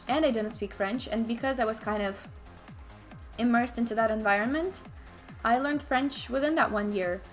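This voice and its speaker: A young woman from Ukraine talks casually on her stream, voice sitting a little high. She breaks off sentences and restarts them, tossing in asides to herself as she goes. Soft music runs quietly underneath. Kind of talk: casual chat while playing chess.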